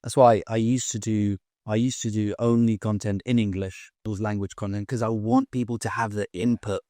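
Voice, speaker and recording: A man hosting an interview, explaining things in a clear, teacherly way. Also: on the low side, steady tone, normal speed, mellow, no echo, clean studio sound. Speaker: host, English teacher. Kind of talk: casual interview.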